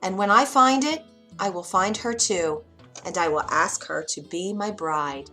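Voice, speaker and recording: A woman's voice: medium pitch, unhurried, pushed and bright, soft background music. A woman voicing an earnest, smitten young prince in a storybook, with an ardent, pleading manner.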